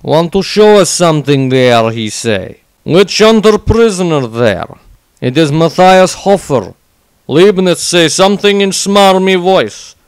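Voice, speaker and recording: A man's voice: high voice, animated, unhurried, clean tone, no echo, poor audio. A man voicing a blunt, simple-minded barbarian warrior who tells a violent fantasy diary in clipped, broken grammar. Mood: gruff, brooding. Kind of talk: in-character diary narration, fantasy audio story.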